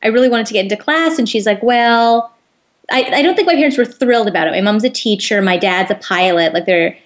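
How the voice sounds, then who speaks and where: A woman's voice: fairly high pitch, brisk. A young woman from Canada with a North American accent, chatting informally as an interview guest. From Winnipeg, Canada. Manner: chatty, informal, uses "like" often. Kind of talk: casual chat.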